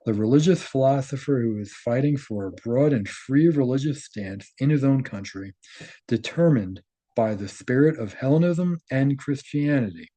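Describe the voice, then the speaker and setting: A man with a dark, relaxed voice at an average pitch reads written prose aloud. He mixes the reading with informal asides, sometimes halting to correct himself or check that the point is clear. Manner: halting, self-correcting, often checks with "right?". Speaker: host reading and commenting on a translated article.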